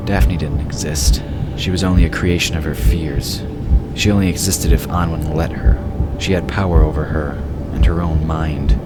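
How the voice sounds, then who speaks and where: A man's voice: a bass voice, fairly even intonation, moderate pace. A man narrating a scripted fantasy story as the storyteller. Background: loud music.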